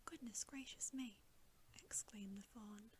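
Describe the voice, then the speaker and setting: A woman reading a bedtime story as ASMR in a soft, breathy whisper, gentle and soothing, close to the microphone. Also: mid-range voice, measured pace, slight background noise.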